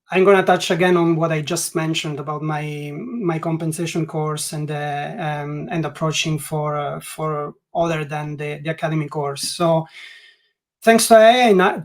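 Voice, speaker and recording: Italian-accented man, halting webinar guest. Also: a little high. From Italy. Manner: hesitant, many restarts and "like" fillers. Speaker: guest learning experience designer. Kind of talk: webinar interview.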